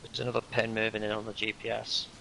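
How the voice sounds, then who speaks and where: A calm man briefs his squad in a low, gravelly voice that stays flat in pitch. His tone is bright and edgy, and faint ambience runs underneath. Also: fast, a little hiss or hum, rough recording. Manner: calm, steady and instructive, with frequent uhs. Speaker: roleplay squad leader. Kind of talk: in-character tactical briefing.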